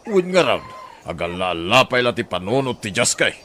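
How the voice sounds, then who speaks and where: Scheming, greedy Ilocano husband, noisy audio. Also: male voice, lowish voice, big pitch swings, normal speed, a bit bright. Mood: sly, calculating. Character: scheming greedy husband eyeing a poor neighbour's land. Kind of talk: Ilocano radio drama acting.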